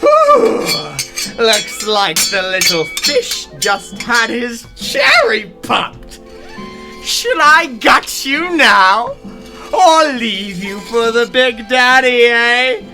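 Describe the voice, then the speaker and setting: A woman's voice: a little high, big pitch swings, drawn-out words, bright, forceful tone, soft background music, heavily acted. A woman playing a deranged, predatory mutant villainess in an audio drama, taunting and gleeful in a theatrical way. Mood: gleeful menace. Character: deranged, predatory female splicer, sadistic and mocking.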